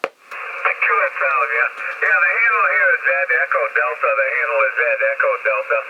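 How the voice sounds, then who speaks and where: A man's voice: high voice, expressive, moderate pace. An older man rambling in a folksy way as he chats casually over two-way radio, often chuckling. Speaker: amateur radio operator. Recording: muffled, very noisy CB radio reception. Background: loud radio static and hiss.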